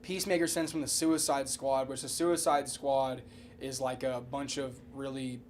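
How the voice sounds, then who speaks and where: A man's voice: medium pitch, flat delivery, moderate pace, a little hiss or hum. A man co-hosting a podcast, giving opinions in casual, sweary slang and repeating his points for emphasis. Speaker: podcast co-host.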